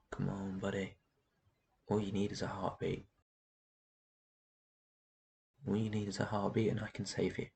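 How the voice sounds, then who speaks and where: A man's voice: lowish voice, vocal fry, darkish tone, a little hiss or hum. A man playing a brooding, protective vampire in an intense fantasy ASMR roleplay. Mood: urgent, grieving. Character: brooding protective male vampire guarding his human flock.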